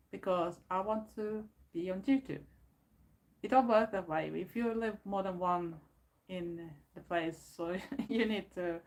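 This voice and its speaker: A woman from Sweden with a Swedish accent, chatting casually on a home live stream from her bedroom, rambling with fillers and restarts. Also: mid-range voice. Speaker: goth YouTuber. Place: bedroom at home, door closed.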